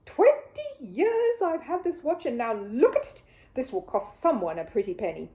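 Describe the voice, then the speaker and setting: Woman as a blustering, bad-tempered man, loud and high. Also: very animated, moderate pace. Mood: furious. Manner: blustering, indignant. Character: bad-tempered, blustering, indignant male neighbour. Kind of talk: children's storybook character reading.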